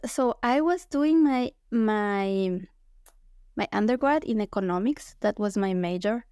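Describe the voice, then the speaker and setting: A woman from Ecuador speaks through an earbud mic on a video call, her voice dry and close with no room sound. She talks at a middle pitch, hesitant, with many restarts and fillers. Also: expressive, unhurried, warm tone, clear, resonant tone, no room sound. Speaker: guest anthropologist. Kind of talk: casual interview talk. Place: small home room. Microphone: earbud headset on video call.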